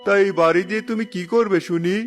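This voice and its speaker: A man's voice: high voice, fairly even intonation, quick pace, faint music behind, close to an everyday voice. A man voicing a smug, mocking village neighbour in a cartoon, teasing and sarcastic. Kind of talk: cartoon voice acting.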